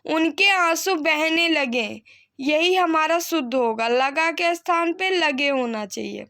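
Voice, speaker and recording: A woman's voice: high voice, takes it slowly, fairly bright tone. A woman teacher giving a grammar lesson, repeating example sentences didactically and answering her own questions. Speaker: Hindi grammar teacher for exam candidates. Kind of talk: instructional lesson, reading sentences and correcting them.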